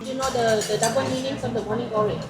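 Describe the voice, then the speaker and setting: A woman's voice: on the high side, steady tone, quick pace, loud music behind the voice. A woman with a Thai accent working as a food tour guide, explaining dishes in simple, chatty English. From Thailand. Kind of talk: guiding explanation.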